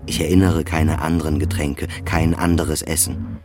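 Deep-voiced introspective man narrating over music. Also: little pitch movement, normal speed. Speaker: narrator of an autobiographical radio play. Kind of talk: scripted first-person literary narration.

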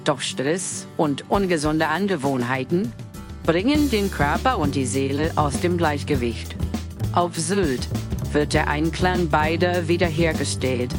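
A woman playing a polished clinic advertising narrator, reading formal promotional copy in a composed way. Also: low-pitched.

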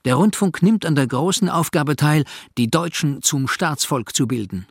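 A man's voice: a little high, lively intonation, moderate pace, no room sound, studio quality. A man reading an official document aloud in a formal, declarative style. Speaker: reader of documents.

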